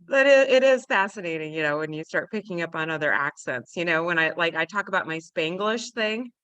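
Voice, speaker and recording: A woman's voice: on the low side, expressive, a little fast, fairly bright tone, no echo. An American woman with a slight Midwestern tinge, chatting casually and telling playful stories with a laugh. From United States. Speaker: panelist. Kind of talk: casual chat.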